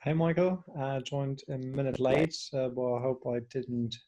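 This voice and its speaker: Man, flat intonation, mellow tone, casual self-introduction, halting phrasing. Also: mid-range voice, rough recording. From Germany. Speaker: participant, community builder.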